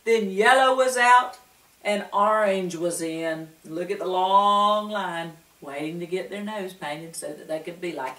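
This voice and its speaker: Slow, expressive woman reading a kids' story. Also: medium pitch. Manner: expressive, slow, with asides to listeners. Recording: roomy room, distant mic.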